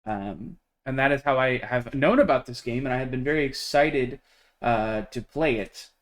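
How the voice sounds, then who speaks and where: A man's voice: medium pitch, normal speed. A young man streaming a game, chatting casually with his viewers and rambling with lots of fillers and restarts. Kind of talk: casual chat with a live stream chat.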